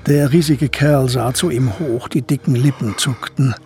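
An actor as the narrator of a historical crime radio drama, reading scripted literary third-person prose. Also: male voice, medium pitch, steady tone, normal speed, darkish tone, faint music behind, natural voice. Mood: narrating.

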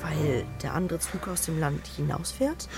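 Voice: mid-range voice, animated, normal speed, gravelly. A performer playing an anxious, inquisitive young boy in a German radio drama, asking naive, insistent questions. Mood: puzzled, inquisitive. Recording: loud music and sound effects behind.

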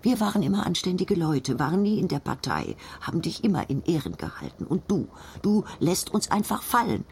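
A woman playing an aggrieved, devout old grandmother in a radio play, often dropping into breathy whispers as she speaks intimately. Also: fairly low pitch, very animated, a little fast, few pauses, a little hiss or hum. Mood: bitter, reproachful.

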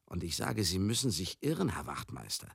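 An actor in a radio drama monologue, playing a garrulous, hard-drinking older showman who grieves as he recalls a lost friend, husky, halting and confiding. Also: low-pitched, sing-song, no echo, studio quality, heavily acted. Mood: agitated recounting. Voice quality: husky, gravelly. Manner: halting, rambling, confiding, asks listeners questions.

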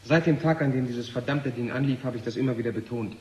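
A man's voice: medium pitch, quick pace, a little mellow, husky. An actor playing an insistent, argumentative male scientist in a 1970 German radio drama, speaking with emphatic intensity. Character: insistent male scientist warning of an alien machine. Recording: noisy 1970 radio play recording.

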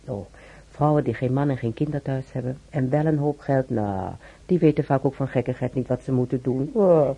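A woman's voice: extremely low pitch, fairly quick, mellow, dull audio, heavily acted. A woman playing a talkative, wry old hospital patient and former maid in a radio drama, rambling colloquially and muttering to herself. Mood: indignant, amused. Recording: clearly noisy old radio recording.

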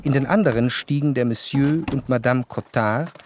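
A man playing a reflective, cultured narrator in a radio drama, speaking measured, articulate standard German. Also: mid-range voice, background noise, lightly acted. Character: cultured first-person narrator, reflective society observer.

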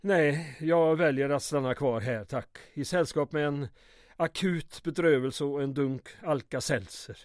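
A man's voice: fairly high pitch, darkish tone, dry recording, studio quality, close to an everyday voice. An actor playing a nervous, placating man with a hesitant, dryly ironic manner in an old-time radio comedy drama. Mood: resigned. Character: nervous, placating, wryly weary male go-between.

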